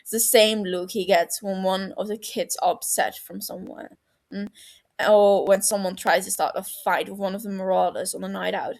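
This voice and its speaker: A woman's voice: mid-range voice, fairly even intonation, normal speed, vocal fry, darkish tone. A woman reading fiction aloud as an amateur audiobook narrator, in a storytelling style.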